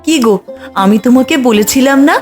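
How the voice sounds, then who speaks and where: Soft pious mom from a cartoon, woman voicing her, kinda high voice. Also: a little fast, soft background music, lightly acted. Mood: knowing. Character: pious village mother, trusting in fate. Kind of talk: Bengali cartoon dubbing.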